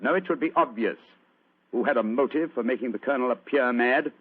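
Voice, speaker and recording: A man playing a shrewd, courteous gentleman detective in a radio drama, formal and theatrically authoritative. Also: mid-range voice, a little fast, husky, lightly acted. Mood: commanding, explanatory. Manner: hesitant coaxing, formal explaining. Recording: muffled, lo-fi old radio recording.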